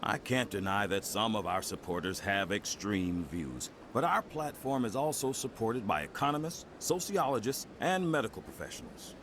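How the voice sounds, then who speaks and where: Low-voiced, earnest politician. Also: male voice, big pitch swings, noisy background. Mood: defensive, firm. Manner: formal, measured, rhetorical. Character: earnest, smooth nationalist male politician seeking votes. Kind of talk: video game dialogue acting.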